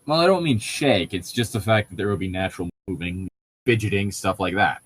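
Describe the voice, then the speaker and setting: Man, lowish pitch, casual podcast commentary, rambling, nitpicky, explanatory. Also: a little fast. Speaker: podcast co-host reading and riffing on a novel.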